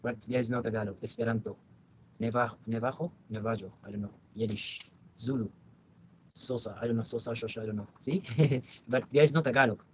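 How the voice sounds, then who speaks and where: A man talks hesitantly over a video call, stopping and restarting with plenty of fillers. His voice sits at a middling pitch. The call audio is dull and lo-fi, with a faint hiss underneath. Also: steady tone. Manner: halting, many fillers and restarts. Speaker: language learner. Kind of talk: casual online tutoring chat.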